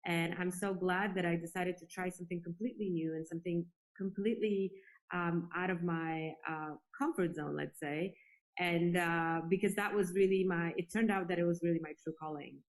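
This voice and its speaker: A woman's voice: lowish voice, fairly even intonation, normal speed, poor audio. A woman from Poland giving earnest, reflective answers as a guest in a conversational interview. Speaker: interview guest, space industry executive.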